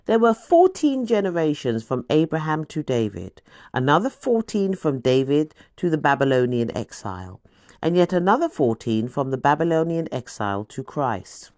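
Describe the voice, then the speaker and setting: A woman reading scripture as scripted audiobook narration, with a deliberate, dramatic storytelling delivery. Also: sits low, sing-song, darkish tone, no room sound, studio quality.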